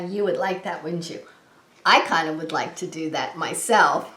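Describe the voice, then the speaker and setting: A woman hosting a preschool story time, talking to young children in a gentle, sing-song, encouraging way. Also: lowish voice. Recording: roomy, echoing indoor sound.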